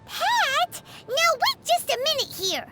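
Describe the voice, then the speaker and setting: A woman voicing a tiny, childlike fairy companion in a video game, with a squeaky voice and a perky, eager manner. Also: huge pitch swings, slow pace, loud music behind the voice, heavily acted. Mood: indignant. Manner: perky, refers to self in third person.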